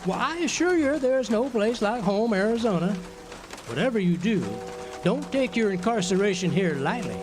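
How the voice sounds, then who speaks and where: Man playing pompous, swooping, high-voiced genial drunk. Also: animated, normal speed, music in the background. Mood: wry, expounding. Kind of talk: radio drama acting.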